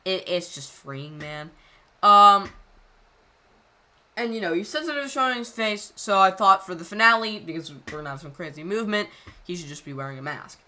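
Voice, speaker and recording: A woman creator talking casually over a video, rambling and halting with lots of fillers. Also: medium pitch, animated, bright, forceful tone. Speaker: channel creator.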